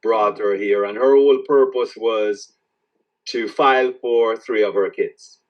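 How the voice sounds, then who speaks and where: A man from Jamaica with a Jamaican accent, an author answering interview questions in a reflective, halting way that repeats words as he starts each thought. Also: fairly low pitch, fairly even intonation, distant mic, dull audio. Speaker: author guest.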